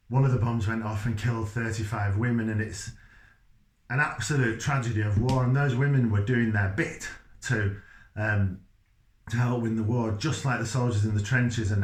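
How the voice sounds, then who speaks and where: A middle-aged man with a Leeds accent gives an informal, earnest explainer to camera, rambling and interrupting himself. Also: fairly low pitch. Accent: Leeds, Yorkshire. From Leeds, England. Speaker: children's author. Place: small indoor room by a whiteboard. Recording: echoey room, faint background noise.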